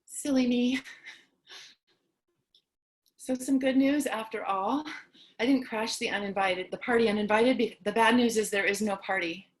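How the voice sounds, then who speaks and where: An actress playing a lonely, anxious, chatty survivor of the apocalypse in a staged reading, with a dramatic, rambling delivery. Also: female voice, on the high side, normal speed, pauses often, natural voice. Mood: disappointed. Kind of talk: staged reading of a theatrical fable.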